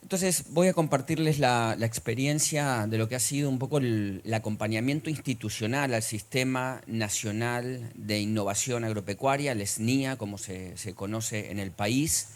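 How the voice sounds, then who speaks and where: A middle-aged man with an Argentine accent, giving a formal conference talk, measured and filled with pauses and hesitations. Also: medium pitch. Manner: measured, with frequent "eh" and "digamos" fillers. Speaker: conference speaker.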